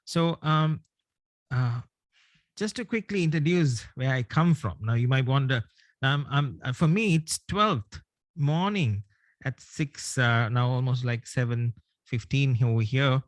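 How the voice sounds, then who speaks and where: A man's voice: medium pitch, a little slow, no room sound. A man from Sri Lanka, giving an online presentation over a video call with many hesitations. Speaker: guest speaker.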